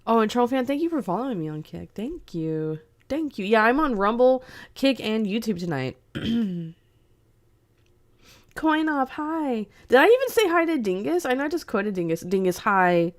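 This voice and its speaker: A woman's voice: on the high side, very animated, normal speed. A young woman hosting a casual livestream and greeting her chat by name. She sounds congested and sniffly from a cold and laughs often. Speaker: streamer host.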